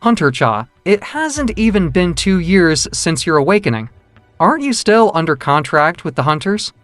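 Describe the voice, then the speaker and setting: Deadpan young guild master, swooping pitch man acting. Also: moderate pace, dry recording, soft background music. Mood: puzzled, suspicious. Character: calm, analytical young male hunter, guild master, deadpan. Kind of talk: manga dub voice acting.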